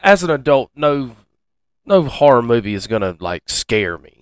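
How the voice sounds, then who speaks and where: A man's voice: medium pitch, big pitch swings, moderate pace, clear, resonant tone. A man co-hosting a podcast in a casual chat about films. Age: adult, married. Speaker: podcast co-host.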